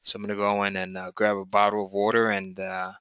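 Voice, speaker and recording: A hoarse man presenting a live webinar, stopping and restarting with lots of fillers. His voice sits low yet bright and smooth. The audio is dry and dull, like lo-fi streaming sound. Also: completely flat delivery, no room sound. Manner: halting, many fillers.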